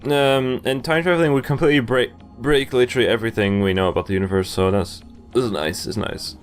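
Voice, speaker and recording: A man's voice: medium pitch, fast. A young man doing a gaming playthrough, reading text aloud and reacting casually into a close mic. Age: young adult. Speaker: let's player. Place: indoors at a computer, webcam view. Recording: game music behind the voice. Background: game music.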